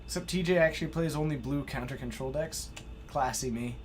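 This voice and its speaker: An excitable man hosting a live stream, giving play-by-play card game commentary and effusively thanking his viewers. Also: medium pitch, a little fast, soft background music. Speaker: stream host and match commentator.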